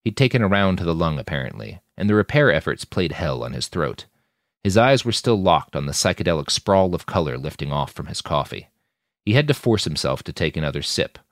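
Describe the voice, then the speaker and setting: Very deep, expressive male audiobook narrator. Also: fairly quick, no room sound, clean studio sound. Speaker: author narrating fiction.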